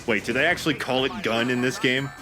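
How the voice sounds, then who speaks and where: A man's voice: medium pitch, quick pace, music in the background. A man giving casual, joking commentary as he and his friends go through a video game. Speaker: let's play commentator.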